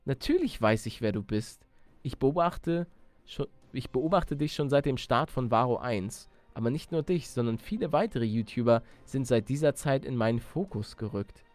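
A man voicing a calm, self-assured mad professor in a video game, speaking in a knowing, formal, explanatory manner. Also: mid-range voice, moderate pace, no echo, soft background music, close to an everyday voice.

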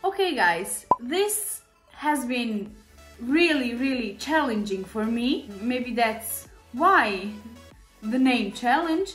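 A young woman chats through a makeup tutorial, her voice bright and high. She speaks to viewers casually, with plenty of fillers and restarts. The room rings with echo, and faint music sits behind her. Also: animated, slow pace. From Serbia. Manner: chatty, many fillers, speaks to viewers directly. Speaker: beauty vlogger. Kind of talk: makeup tutorial talk to camera. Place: small indoor room with shelves.

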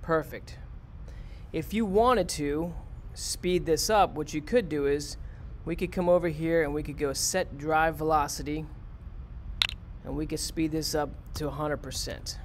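A man's voice: on the high side, fairly even intonation, a little slow, a little hiss or hum. Man teaching a coding lesson over a screen capture. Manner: plain, step-by-step, talks students through it.

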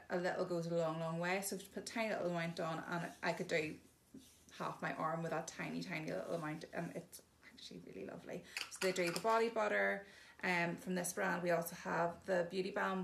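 A woman's voice: on the low side, steady tone, fast talker, creaky, a little hiss or hum. A woman giving a chatty, casual demonstration of bathroom products, explaining each one plainly with frequent ums. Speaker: shop presenter showing products.

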